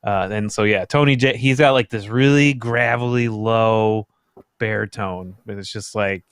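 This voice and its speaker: A man, around his thirties, talking casually as a podcast panelist in a halting, rambling way. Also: on the low side, a bit bright, clear, resonant tone, no room sound, studio quality. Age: millennial, around 30s. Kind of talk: casual group chat.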